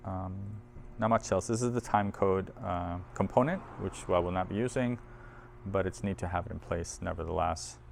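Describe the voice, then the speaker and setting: A man's voice: sits low, moderate pace, gravelly, slight background noise. A man presenting audio equipment in a casual hands-on demo, informal and thinking aloud as he handles the machine.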